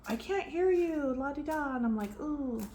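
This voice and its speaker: A soft-spoken woman giving a casual tarot reading, chatty and relaxed with frequent fillers. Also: high-pitched, moderate pace. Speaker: tarot reader. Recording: faint background noise.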